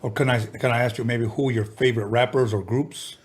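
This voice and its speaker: A man's voice: mid-range voice, steady tone, fairly quick, breathy edge. A man hosting a casual interview, laid-back, giving short affirmations and asking long, rambling questions. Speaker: podcast host.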